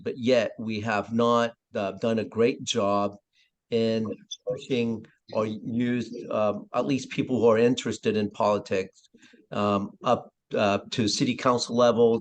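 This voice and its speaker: A councilmember with a Vietnamese accent, speaking haltingly on a webinar panel with many pauses and throat clearing. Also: male voice, on the low side. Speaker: city councilmember.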